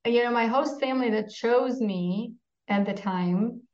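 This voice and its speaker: A woman's voice: fairly high pitch, unhurried, a little mellow. Woman on a roomy call. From Poland. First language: Polish. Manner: candid, dry humor, frequent "uh" fillers. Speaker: guest, company CEO. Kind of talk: casual interview conversation. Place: home office. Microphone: video call. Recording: roomy, dull video-call audio.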